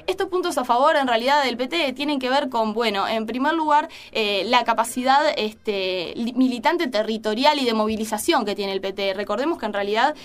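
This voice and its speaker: A woman's voice: fairly high pitch, animated, a little fast, hardly any pauses. A woman giving a conversational political analysis, hesitant, with many fillers and restarts.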